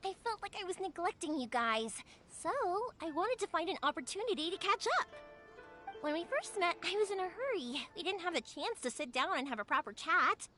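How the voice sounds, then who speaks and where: A woman voicing a cheerful, chatty, excitable young fireworks maker in an anime-style video game. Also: extremely high pitch, fairly quick, full character voice. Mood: friendly, chatty. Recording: music behind the voice.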